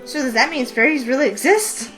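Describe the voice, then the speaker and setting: A woman's voice: high voice, expressive, brisk, a bit bright, pitched above the performer's own voice. A woman voicing an eager, curious young heroine in a game read-through, with faint music behind. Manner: eager, wide-eyed questions. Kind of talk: voice acting a visual novel.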